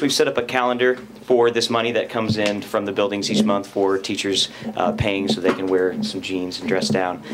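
A man's voice: on the low side, noisy background. Enthusiastic man giving a report. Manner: enthusiastic, earnest, standing while speaking. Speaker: teachers' association representative. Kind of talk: spoken report at a board meeting. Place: school board meeting room, indoors.

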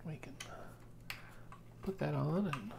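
A man's voice: on the high side, lively intonation, slow pace. An American man in his thirties or forties giving a casual, informal unboxing commentary, thinking aloud as he goes. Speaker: product reviewer. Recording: clear background noise, handling clicks.

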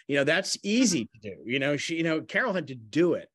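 A middle-aged man, a playwright, talking casually and self-effacingly in a halting way that often trails off. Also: fairly high pitch, very animated, fairly bright tone, husky, no room sound, clean studio sound. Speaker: playwright and actor. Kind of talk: casual talkback discussion. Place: at home on a video call.

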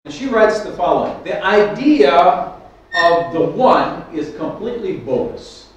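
A man's voice: sits high, unhurried, distant mic. Older man preaching in an echoey hall. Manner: storytelling, animated with hand gestures, frequent restarts. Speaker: pastor. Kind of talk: sermon. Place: church hall with stage and keyboard. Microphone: stand mic at lectern, far camera. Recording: echoey hall, speaker off mic.

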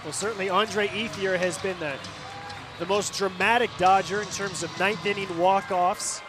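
A man's voice: sits high, steady tone, normal speed. An American man calling live baseball play-by-play on radio in a clipped, descriptive way, reciting stats. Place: stadium broadcast booth. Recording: loud stadium crowd noise. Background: ballpark crowd and stadium ambience.

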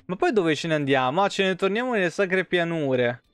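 A man's voice: sits high, animated, fairly quick, clean tone, no room sound. A man, giving casual, sweary, grumbling live game commentary into a close mic. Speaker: game streamer. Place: small indoor room.

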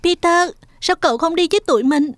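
A woman's voice: extremely high pitch, fairly quick, no room sound, clean studio sound. A woman voicing a caring, big-sisterly young girl in a dubbed children's cartoon. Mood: inviting.

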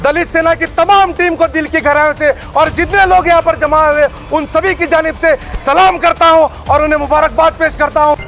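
A man gives an impassioned, forceful public statement as an activist, speaking emphatically outdoors to a news camera. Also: very high-pitched, steady tone, a little fast, vocal fry, hardly any pauses, faint music behind. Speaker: community activist giving a press statement. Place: busy open street outside shopfronts. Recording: outdoor street noise.

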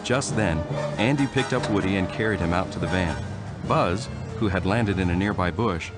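Low-voiced man reading a storybook. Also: normal speed. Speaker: storybook reader. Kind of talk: reading a children's storybook aloud. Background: music.

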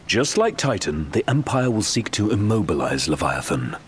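An actor playing a composed, precise strategist in a video game, with a formal manner. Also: male voice, fairly low pitch, very animated, normal speed, a little hiss or hum. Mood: composed, instructive.

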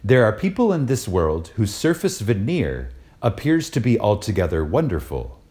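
A man reading a spiritual lecture aloud in a reverent, dramatic style, deliberate and emphatic, with pauses for effect. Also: lowish voice, wildly swooping pitch, warm tone.

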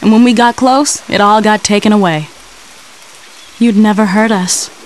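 A woman's voice: medium pitch, moderate pace, lightly acted. A woman playing a sorrowful, loving wife consoling her husband in a horror game. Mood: tender, sad. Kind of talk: scripted game cutscene acting. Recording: faint rain noise behind.

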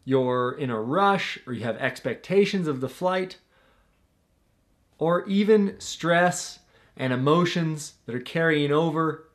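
A man's voice: fairly high pitch, lively intonation, slow. Earnest young man coaching to camera. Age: young adult. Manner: earnest, coaching, gestures with his hands. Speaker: paragliding instructor and channel host. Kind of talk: direct-to-camera tutorial. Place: small home room.

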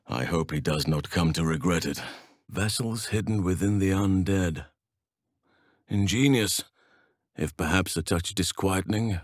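Casting: a wise, big-hearted game druid; low register, measured archaic delivery, close dry recording. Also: male voice, fairly bright tone, no room sound, exaggerated voice. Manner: measured, formal, archaic phrasing. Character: wise, solemn male druid healer, large and gentle-hearted. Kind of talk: video game voice acting.

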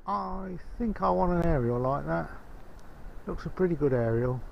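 A man's voice: fairly high pitch, animated, normal speed, creaky. A man giving a casual running commentary on a clifftop walk, chatty and pointing out the sights as he goes, recorded outdoors on an action camera. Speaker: vlogger filming a coastal walk. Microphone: handheld action camera. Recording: dull camera audio with outdoor wind noise.

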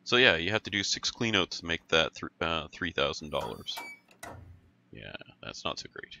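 A man's voice: low voice, big pitch swings, moderate pace, vocal fry, sharp tone, dull audio, slight background noise. A man hosting a gaming channel, casually narrating his gameplay while stuffed up and growly, thinking aloud and correcting himself as he goes. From Canada. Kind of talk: casual let's-play commentary.

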